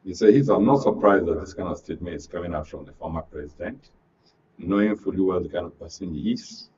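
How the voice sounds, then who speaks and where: A man's voice: low voice, fast talker, warm tone, a little hiss or hum. A man with a Nigerian accent giving political commentary as a programme guest, emphatic and rhetorical. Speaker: studio guest, public affairs commentator. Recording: dull lo-fi sound, distant from mic.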